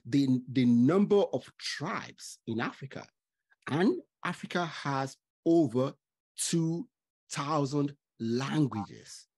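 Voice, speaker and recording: A middle-aged man from Nigeria, hosting a podcast from a home study with a studio mic, speaking deliberately with stuttering restarts. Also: mid-range voice, lively intonation, a little mellow, breathy edge. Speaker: podcast host. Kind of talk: casual interview chat. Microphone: studio mic with headphones.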